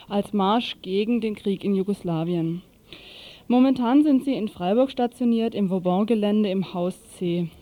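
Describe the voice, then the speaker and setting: Woman reading radio news, mellow voice. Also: mid-range voice.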